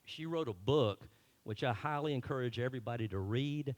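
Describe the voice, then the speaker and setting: A man's voice: mid-range voice, a little fast. A man introducing a guest at a town hall, earnest and a bit unpolished on stage. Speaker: event organizer introducing the speaker. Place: meeting hall.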